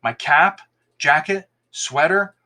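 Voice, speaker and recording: A man's voice: a little high, takes it slowly, bright, forceful tone, exaggerated voice. A man voicing a generous, wistful wanderer in a folktale, speaking in an earnest, hesitant manner.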